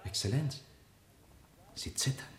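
An actor playing a truth-telling servant and diarist in a German radio play, formal and deliberate with quiet irony. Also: male voice, natural voice. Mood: calm, in control. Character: politely insolent male servant, the ruler's truth-telling diarist. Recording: roomy, echoing studio sound.

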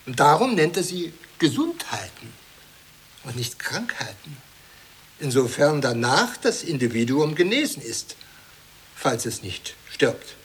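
An actor playing a ceremonious, excitable academy chairman in an absurdist radio comedy, pompous and declamatory. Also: on the high side, unhurried, a little hiss or hum, exaggerated voice. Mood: pompous, lecturing. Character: ceremonious, excitable academy chairman presenting his eminent colleagues. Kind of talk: 1980s German absurdist radio comedy acting.

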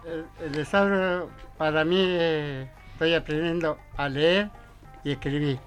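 A middle-aged Argentine man giving informal interview answers in a halting, plain-spoken way. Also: fairly high pitch, fairly even intonation, faint music behind. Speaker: adult literacy student, radio guest. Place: radio studio.

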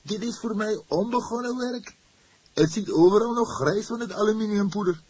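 A man voicing an astonished, grumbling, slow-moving fingerprint technician in an audiobook, with an exclaiming, complaining manner. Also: high voice, darkish tone, slightly rough, no echo, pitched above the performer's own voice.

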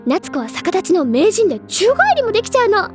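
Very high-pitched, bubbly bookish schoolgirl. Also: big pitch swings, brisk, soft background music. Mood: gushing delight. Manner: gushing, lecturing, theatrical. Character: bubbly, stubborn, literature-obsessed high school girl, club senior. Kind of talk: Japanese anime-style audio drama acting.

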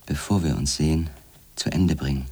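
An actor playing an obsessed, solitary journalist in a radio drama, voicing letters in a halting, grave, brooding manner. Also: male voice, a bass voice, darkish tone, husky, full character voice. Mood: brooding, pleading. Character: obsessed, solitary male journalist writing to his wife.

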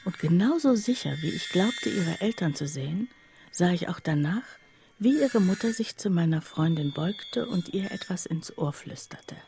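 A woman narrating a literary radio play in the first person, in a measured, hushed, reflective way. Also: on the low side, expressive, a little hiss or hum.

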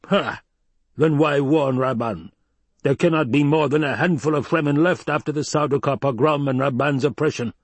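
A man's voice: mid-range voice, animated, normal speed, darkish tone, breathy edge, dry recording, pitched above the performer's own voice. A man voicing a fat, scheming baron in an audiobook, pompous, blustering and petulant. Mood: blustering, scornful. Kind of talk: dramatized audiobook reading.

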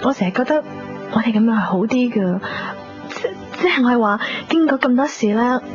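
A woman's voice: fairly high pitch, animated, a little fast, lightly acted. An actress playing a devoted, anxious young woman in a Hong Kong Cantonese radio drama, pleading and hesitant. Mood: anxious, reasoning. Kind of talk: 1990s radio drama acting. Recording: dull 1990s radio drama recording. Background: music.

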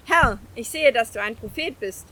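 A woman's voice: on the high side, lively intonation, moderate pace, fairly bright tone, rough recording. An actress playing a frank, questioning Samaritan village woman in a Bible audio drama, speaking expressively. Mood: respectful, probing.

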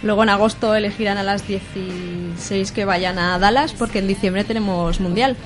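A woman's voice: mid-range voice, fairly even intonation, normal speed. A woman with a Spanish accent from Spain, talking casually as an interview guest. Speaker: interview guest, roller derby player. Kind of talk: casual chat. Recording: clear music behind the voice. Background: music.

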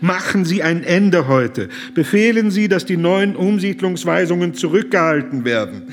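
A man's voice: high-pitched, lively intonation, normal speed. An actor in a radio drama playing an impassioned German humanitarian who pleads earnestly and formally with a man in power. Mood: urgent, indignant.

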